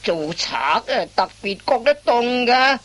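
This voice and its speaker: Crafty master thief for radio comedy, unhurried lecturing, voice goes up and down a lot, lots of little interjections. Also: female voice, fairly high pitch, lively intonation, a bit bright. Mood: fussy, advising. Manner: instructive, drawn-out phrasing full of interjections. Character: wily veteran master thief schooling an apprentice. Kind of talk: Cantonese comic radio play acting.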